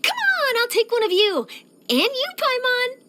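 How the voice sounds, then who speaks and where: Eager high-pitched young girl reporter. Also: measured pace, full character voice. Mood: friendly, eager. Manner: animated, chatty, expressive, theatrical delivery. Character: young female newspaper reporter, eager, curious, nosy, cheerful. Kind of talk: scripted video game character voice lines.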